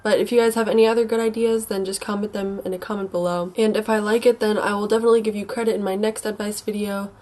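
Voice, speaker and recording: A woman's voice: mid-range voice, level tone, fast, hardly any pauses, dark tone. A young American woman with a congested, stuffy nose giving casual advice as a vlogger, in an informal, rambling and hesitant way. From United States. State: mildly sick with a stuffy nose.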